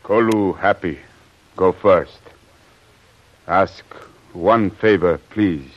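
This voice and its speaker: An actor playing a loyal, solemn guide who speaks halting broken English in old radio drama style. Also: male voice, sits low, exaggerated voice. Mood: solemn, eager. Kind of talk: 1940s radio acting. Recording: dull old radio recording.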